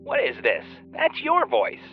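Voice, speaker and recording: A man's voice: extremely high pitch, wildly swooping pitch, measured pace, soft background music, heavily acted. A man playing a nervy, indignant superfan and fan fiction writer on a phone call in an audio drama. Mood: defensive. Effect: phone line filter. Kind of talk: naturalistic audio drama dialogue. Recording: tinny narrow phone line.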